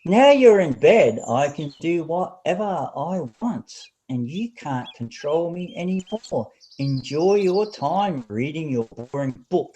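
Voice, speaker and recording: A man's voice: on the high side, very animated, slow, few pauses, mellow, pitched above the performer's own voice, poor audio. A man voicing a teasing, bossy young girl cousin in an audiobook story, with a taunting, scolding manner. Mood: gloating, teasing.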